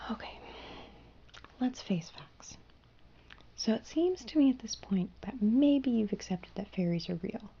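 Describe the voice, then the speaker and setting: A young woman voicing a gentle female fairy in human form, whispering softly and reassuringly. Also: a little high, expressive, takes it slowly, pauses often, muffled recording, slight background noise, exaggerated voice. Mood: soothing. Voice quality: breathy whisper. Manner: soft soothing whisper, reassuring and hushing. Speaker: ASMR roleplayer. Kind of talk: whispered ASMR roleplay.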